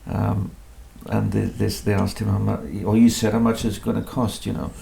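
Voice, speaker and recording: A man's voice: on the low side, little pitch movement, moderate pace, gravelly, dark tone. A man, a composer being interviewed in his music room, talking in a modest, hesitant way with many restarts. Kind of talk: relaxed conversational interview.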